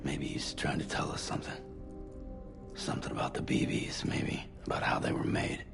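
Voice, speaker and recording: A very deep, gravelly voice belongs to a tired, gruff courier. He keeps it low and clipped. A film score swells behind him in this game cutscene. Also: huge pitch swings, normal speed, music in the background, heavily acted. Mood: subdued. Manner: quiet, terse, subdued. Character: weary gruff male courier, middle-aged.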